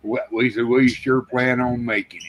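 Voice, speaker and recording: An older man with a drawl rambles through folksy stories in a casual live chat, acting out the other people's lines as he goes. His voice sits at an ordinary middle pitch. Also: little pitch movement, normal speed, a crowd in the background, low-quality recording. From Texas. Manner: rambling storyteller with folksy quoted dialogue.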